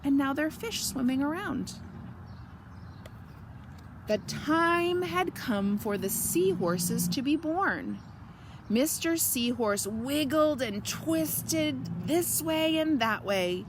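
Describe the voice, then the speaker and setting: A woman reading a picture book aloud to children, gently explaining and asking questions in asides. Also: high-pitched, background noise. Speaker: children's story reader.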